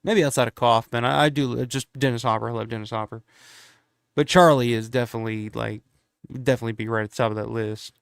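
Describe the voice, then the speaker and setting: A man's voice: medium pitch, steady tone, fast talker, gravelly, clean tone, no echo, studio quality. A congested man, starting to lose his voice, hosting a casual live stream and rambling with lots of fillers and restarts.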